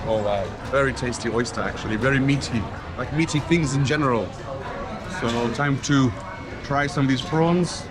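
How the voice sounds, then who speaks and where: A man's voice: medium pitch, moderate pace. A travel vlogger with Kenyan and Swiss roots, speaking in an ordinary mid-range voice. He talks casually while he eats, often through a mouthful, and lists flavors and textures. The sound comes from a camera mic at outdoor eateries. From Kenya and Switzerland. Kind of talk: casual on-camera food tasting.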